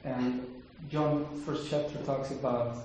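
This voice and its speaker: A man from the Czech Republic, a native Czech speaker with a Czech accent, answering audience questions in a halting, casual way with many fillers and restarts. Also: mid-range voice, steady tone, a little mellow. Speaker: Bible translator answering questions. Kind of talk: casual Q&A talk. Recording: very echoey hall, faint noise.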